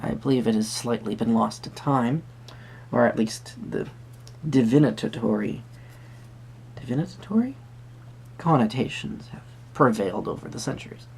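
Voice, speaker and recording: A creaky, gravel-edged voice at a middling pitch gives a roleplay tarot reading. The delivery is hesitant and musing, with wordy, formal phrasing, over noticeable background noise. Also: animated, moderate pace, pauses often, darkish tone. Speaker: tarot reader host. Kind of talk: soft-spoken roleplay tarot reading addressed to the viewer.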